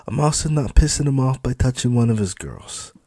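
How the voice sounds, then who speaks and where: A man's voice: medium pitch, expressive, a little fast, warm tone, no echo, clean studio sound, pitched above the performer's own voice. A man voicing a smug, crude club supervisor in an audiobook, dismissive and impatient with a sneering manner. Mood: matter-of-fact. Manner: sneering, exasperated, mouthy. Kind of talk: dramatised audiobook dialogue.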